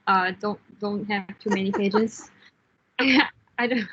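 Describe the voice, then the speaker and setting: A woman in her thirties from Singapore, a software team lead giving frank, encouraging career advice in an online class, with fillers and restarts. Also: mid-range voice, slow pace, dull audio, slight background noise. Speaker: guest speaker, software engineer team lead.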